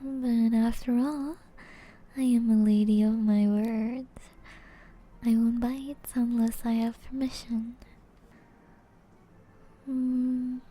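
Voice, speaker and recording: A woman plays an elegant, seductive vampire noblewoman in an intimate ASMR roleplay. Her voice sits fairly high yet is very dark and velvety soft, breathy and often half-whispered. She draws out her formal, teasing phrases at a very slow pace, flirty and predatory.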